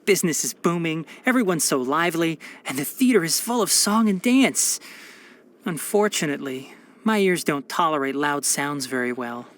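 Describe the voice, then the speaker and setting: A man's voice: fairly high pitch, animated, moderate pace, fairly bright tone. A man voicing a brainy, caring young fox-eared forest watcher in a video game, articulate and bookish with a dryly sardonic manner. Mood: gentle, confiding. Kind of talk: scripted video game character voice lines.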